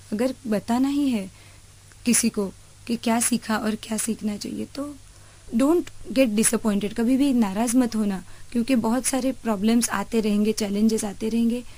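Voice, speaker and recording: An Indian woman speaks as an interview guest in a soft, mellow tone. Her voice sits a little high. She answers reflectively, moving between two languages. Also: rough recording. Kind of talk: reflective interview answers mixing Hindi and English.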